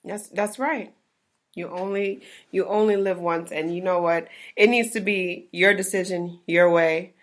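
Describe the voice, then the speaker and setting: A woman's voice: on the low side, fairly even intonation, a little slow. A woman hosting a casual talk show, laughing easily and repeating phrases for emphasis. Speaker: host.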